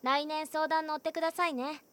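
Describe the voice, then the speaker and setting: A woman's voice: sits high, fairly even intonation, moderate pace, slight background noise. A woman playing a frank, teasing schoolgirl. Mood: candid, teasing. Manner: candid, gently teasing. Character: frank, cheerful teenage schoolgirl. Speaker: student. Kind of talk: voice drama acting.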